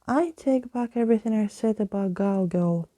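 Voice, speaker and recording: A woman voicing a sarcastic, mischievous young girl in a storybook, blunt and teasing in a breathy voice. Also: medium pitch, moderate pace, dark tone, dry recording, close to an everyday voice.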